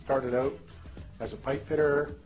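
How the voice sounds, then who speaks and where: A man's voice: medium pitch, fairly even intonation, a little slow. A middle-aged man from Canada, giving an eager testimonial. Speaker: former pipe fitter, testimonial. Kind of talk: testimonial to camera. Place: indoors, high-rise room with window view. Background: soft music.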